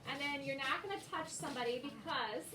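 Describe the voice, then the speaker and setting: High-voiced woman teaching in a noisy classroom. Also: brisk. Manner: simple explanations with checking tag questions. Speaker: elementary school teacher. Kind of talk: classroom teaching of young children. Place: indoor school classroom. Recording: roomy, distant mic, noisy classroom. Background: classroom noise and children.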